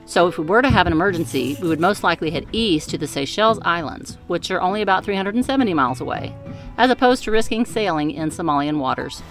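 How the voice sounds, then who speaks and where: A woman giving calm, measured scripted voice-over narration for a family sailing vlog. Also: lowish voice, faint music behind. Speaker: vlogger narrating a sailing trip.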